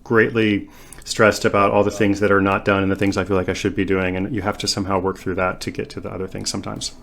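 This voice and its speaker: A man's voice: sits low, level tone, fast, creaky, fairly bright tone, slight background noise. A man speaking as an author guest on a podcast, in a thoughtful, casual way, often using "like" and "you know". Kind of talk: conversational interview.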